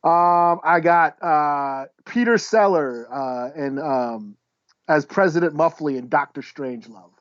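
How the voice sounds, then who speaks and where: A man with a somewhat high voice co-hosts a casual podcast. He is laid-back and wry. The sound is very dry and a little dull. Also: slow pace, smooth, dry recording. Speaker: podcast co-host. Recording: slightly dull, close dry recording.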